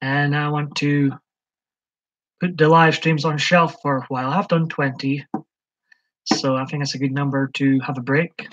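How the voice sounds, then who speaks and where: A man's voice: a little high, fairly even intonation, normal speed, pauses often, a bit bright. A man hosting a casual live stream, chatting hesitantly with lots of ums as he answers viewers' comments. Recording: dull lo-fi stream audio.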